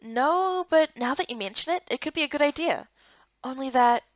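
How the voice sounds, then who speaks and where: A woman's voice: high-pitched, lively intonation, moderate pace, dry recording, lo-fi sound. A woman voicing a curious, unsure young human heroine, plain-spoken, in visual novel dialogue and narration. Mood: matter-of-fact.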